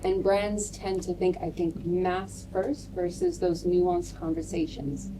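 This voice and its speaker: A woman marketer on a debate panel, speaking in a measured way and leaning on "you know" and "um" as she builds her points. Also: fairly low pitch, steady tone. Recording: distant mic with clear background noise.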